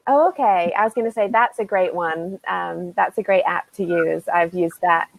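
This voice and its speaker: A woman with an American accent, an online English tutor chatting through a casual lesson on a video call, unhurried and laughing lightly between sentences. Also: lowish voice, gravelly, dry recording, rough recording. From United States.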